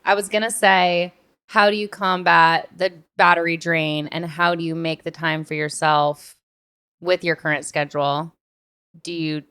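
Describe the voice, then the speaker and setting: A woman's voice: low voice, fairly bright tone, smooth. A woman podcast host in a casual, earnest chat, offering advice informally.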